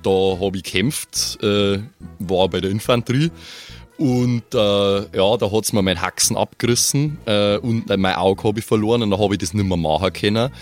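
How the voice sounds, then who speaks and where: Guy doing a bavarian circus strongman, middle-aged ex-soldier, folksy and rambling, lowish voice. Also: normal speed, faint music behind, close to an everyday voice. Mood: hearty, proud. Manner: plain-spoken, folksy, rambling. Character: hearty 48-year-old male circus strongman, maimed ex-soldier.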